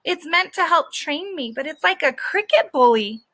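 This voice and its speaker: A woman's voice: sits high, animated, normal speed, bright, forceful tone. A woman voicing a cocky, stubborn stray dog who tells his story in sassy, colloquial children's storybook style. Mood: grumbling, wry. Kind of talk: children's book read-aloud.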